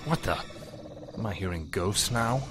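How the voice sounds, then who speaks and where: An actor playing a cold, arrogant young fallen prince turned death knight, haughty and menacing, in a fantasy game cutscene. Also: male voice, on the low side, lively intonation, a little slow, over music. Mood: surprised.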